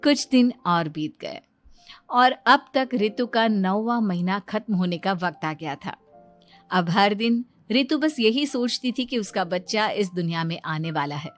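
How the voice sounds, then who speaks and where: Woman narrator for a cartoon moral tale, medium pitch, bit of music behind. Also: animated, measured pace. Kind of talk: scripted storytelling narration for animated moral story.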